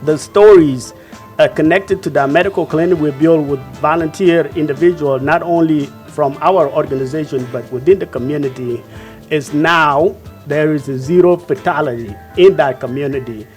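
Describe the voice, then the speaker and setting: A man with a slightly high voice tells a community story in an interview. He repeats words now and then as he speaks. Faint music sits behind him. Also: normal speed, a little mellow.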